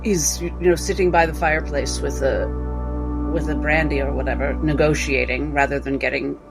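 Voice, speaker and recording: Man playing a wry, higher-voiced doctor spellcaster. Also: steady tone, normal speed, loud music behind the voice, lightly acted. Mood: wry, scheming. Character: sly, dry-witted male doctor and spellcaster. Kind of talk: in-character tabletop roleplay.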